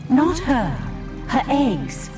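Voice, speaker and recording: A woman playing a solemn, regretful ancient guardian, speaking in measured lines in a fantasy game cutscene. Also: high-pitched, wildly swooping pitch, full character voice. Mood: grave regret. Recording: music behind voice. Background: music.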